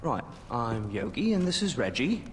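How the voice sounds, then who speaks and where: An actor playing a dopey stoner posing as a hippie guru in a video game, spacey and goofy, with chanting. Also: male voice, mid-range voice, big pitch swings, moderate pace, in a large hall, slight background noise, lightly acted.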